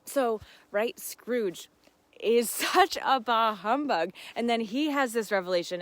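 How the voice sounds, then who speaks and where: A woman speaks as the host of a casual live Q&A stream. Her voice sits at an average pitch for a woman. She comes across as earnest and heartfelt, with an effusive, easily amused way of talking. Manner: effusive, often laughing while talking. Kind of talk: casual livestream talk.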